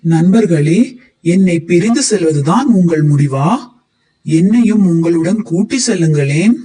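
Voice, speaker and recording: A man's voice: fairly high pitch, lively intonation, a little slow, mellow, poor audio. A man voicing an earnest, overconfident turtle in a children's moral story, speaking in an emphatic, theatrical way. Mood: pleading. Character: earnest, stubborn male turtle, overconfident and pleading.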